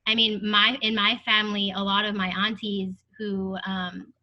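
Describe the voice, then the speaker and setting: A woman's voice: medium pitch, flat delivery, normal speed, dull audio. A young adult woman speaking earnestly and thoughtfully as a panelist in a discussion, with frequent fillers.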